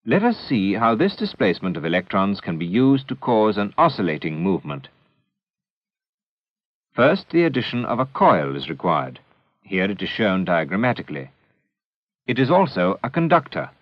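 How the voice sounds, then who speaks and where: A man narrating a scripted educational film in a measured, deliberate, didactic manner, on an old 16mm film soundtrack. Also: lowish voice, huge pitch swings, no echo, highs cut off.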